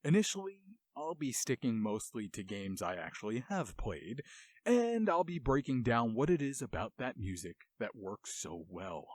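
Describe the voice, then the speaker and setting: A man's voice: medium pitch, big pitch swings, moderate pace, darkish tone, dry recording. A man hosting a game music show with enthusiastic, analytical commentary and dramatic storytelling.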